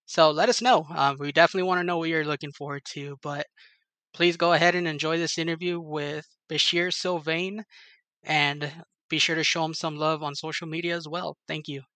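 A man's voice: on the high side, level tone, fairly quick, bright, forceful tone, dry recording. A man hosting a show in an enthusiastic, informal way, speaking casually like someone sharing news with fans. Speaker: show host. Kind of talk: casual gaming news recap and interview.

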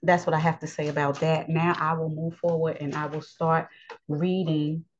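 A woman's voice: sits low, level tone, moderate pace, slight background noise. A woman from the United States giving an unscripted Bible lesson, earnest and repetitive with frequent ums. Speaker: Bible teacher. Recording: dull lo-fi audio.

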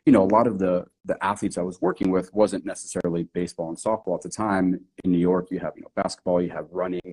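A man from New Hampshire, a guest strength coach telling his background in a relaxed, easygoing way. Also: deep voice, fast, husky, low-quality recording. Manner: relaxed storytelling, easy laughs. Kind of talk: casual interview answers.

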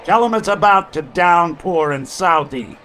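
An actor playing a world-weary, wry robot detective in an old-time radio noir style. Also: male voice, sits high, expressive, unhurried, fairly bright tone, soft background music. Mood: urgent. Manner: terse, wry, hardboiled.

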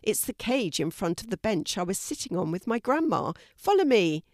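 A woman's voice: medium pitch, sing-song, moderate pace, darkish tone, no room sound, clean studio sound, lightly acted. A woman voicing a kind, helpful and slightly hesitant young girl in a children's storybook. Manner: hesitant, apologetic.

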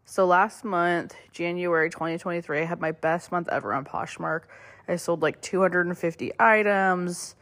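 A young woman hosting a casual podcast, a little hoarse from a cold, chatting loosely with lots of fillers and small laughs. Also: on the low side, moderate pace, a bit bright. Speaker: podcast host. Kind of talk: casual solo podcast chat.

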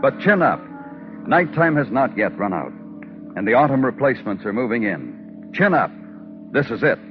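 A man's voice: medium pitch, sing-song, moderate pace. An actor playing a world-weary police detective who narrates his beat in dramatic, clipped, poetic phrases in the style of 1950s radio crime drama. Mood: somber, poetic. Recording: old radio recording, dull, music behind.

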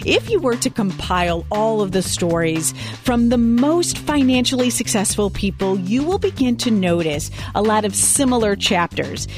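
A woman's voice: mid-range voice, animated, moderate pace. Woman hosting a podcast over music. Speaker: podcast host.

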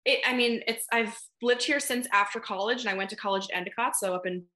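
A woman's voice: medium pitch, fast, sharp tone. A woman in her twenties from New England, a podcast guest chatting casually, laughing often and filling with "like" and "um". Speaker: podcast guest, content creator.

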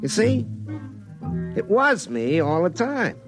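A cocky telephone lineman from a vintage radio play. His voice sits fairly high for a man and swings freely in pitch. He talks in a folksy, wry, colloquial way, over music at times on an old broadcast recording. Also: very animated, slow, full character voice. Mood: insistent, excited. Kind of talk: 1950s radio drama acting with first-person narration.

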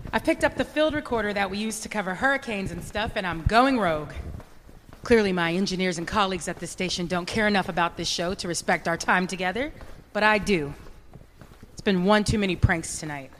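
A woman's voice: medium pitch, a little fast, slight background noise, natural voice. A woman voicing a fed-up late-night radio host who talks straight to her listeners. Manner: sarcastic, talking straight to listeners.

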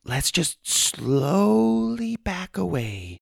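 A man voicing a brisk, practical young girl in a raised voice. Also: medium pitch, big pitch swings, gravelly, no echo, clean studio sound, pitched above the performer's own voice, exaggerated voice. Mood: bossy.

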